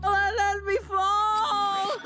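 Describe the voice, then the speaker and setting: A man's voice: extremely high pitch, monotone, very slow, loud music behind the voice, exaggerated voice. A man voicing a terrified, helpless cartoon victim, pleading in panic.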